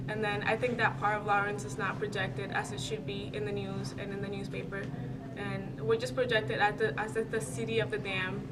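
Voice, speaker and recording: A young woman in her late teens, from the Dominican Republic, speaks earnestly about her life in a recorded interview. Her delivery is reflective and level, with little rise and fall, and she stops often to gather her thoughts. A clear layer of background noise runs under her voice. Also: mid-range voice. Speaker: student interviewee. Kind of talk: personal interview answers. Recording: noisy background hiss.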